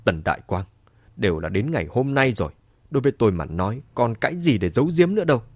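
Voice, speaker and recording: A man voicing a bitter, cruel middle-aged mother in an audiobook, shouting spitefully and defiantly. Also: medium pitch, big pitch swings, quick pace, slightly rough, no echo.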